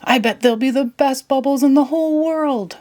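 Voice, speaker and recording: A woman voicing a childlike, slow-witted but enthusiastic male cartoon starfish in a storybook. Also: high voice, a little mellow, pitched above the performer's own voice, natural voice. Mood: eager, pleading. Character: dim, friendly, childlike male cartoon starfish best friend.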